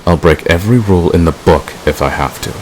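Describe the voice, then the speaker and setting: Guy doing a super deep gravelly villain boyfriend, intense and manipulative, romantic asmr roleplay, hissy recording. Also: close to an everyday voice. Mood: devoted, resolute. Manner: intense, deliberate, possessive. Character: devoted, manipulative villain, a secret lover. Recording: clear background hiss.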